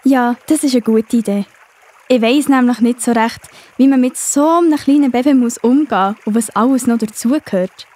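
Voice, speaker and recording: Woman acting a high, breathy young princess. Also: normal speed, mellow, natural voice. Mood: pleased, unsure. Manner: exclamatory, cooing. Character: kind, tender-hearted young Egyptian princess. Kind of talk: children's audio drama acting.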